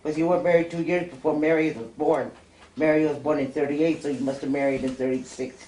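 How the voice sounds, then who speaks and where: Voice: medium pitch, fairly even intonation, brisk, warm tone. A family member casually filling in details and explaining in a matter-of-fact way. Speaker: relative helping the interviewee recall. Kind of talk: casual recollection. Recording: echoey room, faint background noise.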